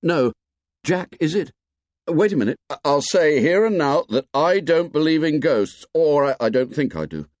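A man's voice: a little high, sing-song, moderate pace, darkish tone, dry recording, clean studio sound, pitched above the performer's own voice. Man voicing an earnest, beleaguered boy leader. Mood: weary earnestness. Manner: earnest, hesitant, insistent. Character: earnest, beleaguered boy chief defending order.

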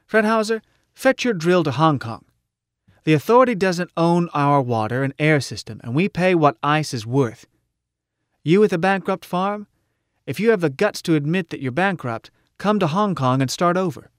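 A man's voice: on the high side, expressive, moderate pace, no echo, pitched above the performer's own voice, close to an everyday voice. Audiobook rebel firebrand, female male reader: rousing, insistent, punchy slogans in a lifted voice, dry close sound. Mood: rousing, urgent. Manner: emphatic, clipped slogans. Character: Wyoming, fiery rousing female revolutionary orator at a rally.

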